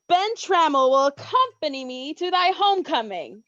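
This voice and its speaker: A woman's voice: high voice, big pitch swings, a bit bright, no echo, highs cut off, full character voice. A woman acting a flighty, scheming young friend with theatrical mock-Shakespearean diction in a staged reading. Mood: gleeful. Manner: theatrical, drawn-out, archaic diction. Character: flighty, scheming, exasperated young female friend, matchmaking and cursing.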